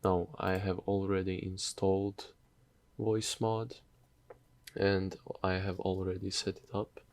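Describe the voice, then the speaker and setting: A man narrating a casual software tutorial in a hesitant, halting, off-the-cuff way. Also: low-pitched, little pitch movement, dry recording.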